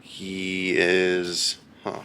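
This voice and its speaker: A young man with a very deep, low voice and a gravelly, creaky edge talks to the camera at home in a casual, deadpan way. He rambles and mocks himself, and a faint hiss sits under the recording. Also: very slow. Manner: deadpan, rambling and self-mocking. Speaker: husband reading a book on camera. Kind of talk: casual vlog chat.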